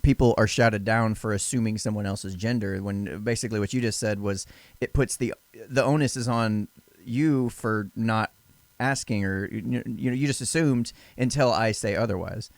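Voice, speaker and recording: A deep-voiced man hosting a casual interview, tripping over his words and restarting sentences as he goes. His lowish voice sits close and dry on the mic, with no room sound around it. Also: moderate pace, gravelly, no echo. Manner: stammering, repeats words, restarts often. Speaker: podcast host.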